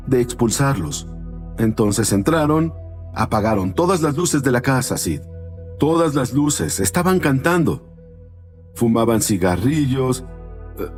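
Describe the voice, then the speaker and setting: A man from Panama, a guest on an interview show, telling his life story earnestly, pausing with fillers. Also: medium pitch.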